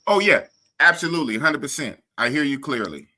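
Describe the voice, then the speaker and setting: Man, live stream host, very bright and sharp tone, animated, rambling. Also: on the high side, brisk. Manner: animated and rambling, restarts and repeats himself. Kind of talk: casual live stream chat.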